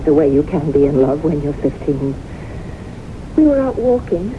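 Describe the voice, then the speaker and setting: An actress playing a haunted, guilt-ridden woman with slow, deliberate, dramatic delivery in a 1970s radio drama. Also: big pitch swings. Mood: wistful, resigned. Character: haunted guilt-ridden woman recalling her doomed youth. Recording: noisy, rumbling, muffled 1970s radio recording.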